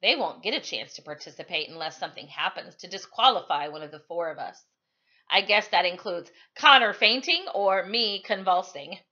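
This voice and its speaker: A woman reading a novel aloud like a teacher at storytime, with animated, emphatic delivery. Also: fairly low pitch, moderate pace, lo-fi sound. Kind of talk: expressive storytime read-aloud.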